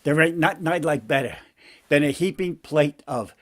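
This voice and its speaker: A man's voice: a little high, natural voice. A man voicing a brash, slangy, wisecracking male frog in a comic fairy tale. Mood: brash, pleased. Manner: slangy, brash, drawn-out words. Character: wisecracking, pushy male frog with street-guy swagger.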